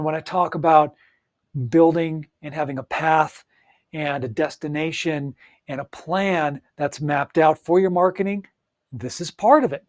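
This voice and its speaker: A man's voice: on the high side, a little mellow, no room sound, studio quality. A man giving an upbeat, encouraging solo talk as a coach, speaking straight to the listener with frequent fillers and restarts. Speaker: audiobook coach.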